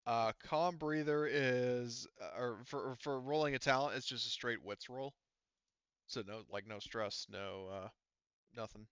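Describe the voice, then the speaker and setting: Smooth-voiced man running a game, muffled audio. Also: mid-range voice, measured pace, fairly bright tone, no room sound. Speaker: game master. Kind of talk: casual tabletop game talk.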